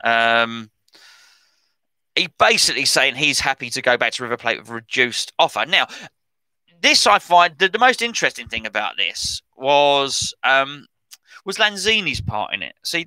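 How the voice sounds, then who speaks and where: A man's voice: medium pitch, very animated, moderate pace, pushed and bright, no echo, poor audio. A middle-aged man, rambling and correcting himself as he chats about football transfer news as a podcast host. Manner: chatty, rambling, self-correcting. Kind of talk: casual commentary on transfer news.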